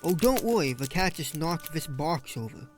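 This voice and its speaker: A woman's voice: sits low, lively intonation, normal speed, soft background music. A woman playing an attentive junior police officer in an audio drama, deferential and factual. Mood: concerned. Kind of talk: amateur audio drama dialogue.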